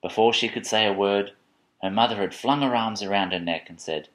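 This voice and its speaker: A man reading a children's picture book aloud in an expressive, playful way, with chatty asides to a child. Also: lowish voice, brisk, low-quality recording. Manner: expressive, playful, dramatic storybook emphasis. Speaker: parent reading a bedtime story to a child.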